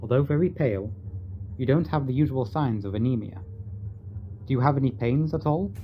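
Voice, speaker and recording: A man playing an earnest, formal Victorian asylum doctor in a radio drama, speaking in a measured, grave way. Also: mid-range voice, natural voice. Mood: concerned. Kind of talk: radio drama acting and narration. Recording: dull lo-fi audio, faint noise.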